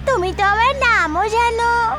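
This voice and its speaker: Very high-pitched eager little girl. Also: a little slow, over loud music. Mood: curious, excited. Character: excitable ten-year-old girl, curious and determined. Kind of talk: animated story voice acting.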